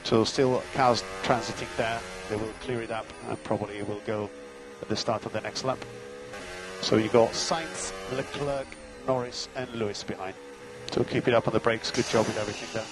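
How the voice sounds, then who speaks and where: A man's voice: lowish voice, fairly even intonation, moderate pace, noisy background. Terse male race engineer on team radio. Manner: terse, matter-of-fact, repeats key instructions. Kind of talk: clipped instructions and gap updates over team radio.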